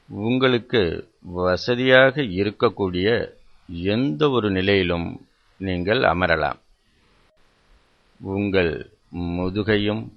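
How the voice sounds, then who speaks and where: A man with a fairly low voice leads a guided meditation. He speaks very slowly and deliberately, repeating instructions and leaving pauses. The recording sounds somewhat muffled and lo-fi.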